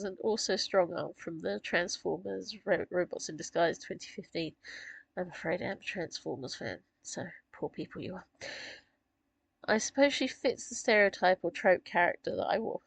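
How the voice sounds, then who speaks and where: Croaky, husky woman chatting. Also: medium pitch, no room sound, low-quality recording. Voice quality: gravelly, husky. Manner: chatty, rambling with fillers and small laughs. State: croaky from a sore throat. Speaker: author discussing her characters. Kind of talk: casual chat.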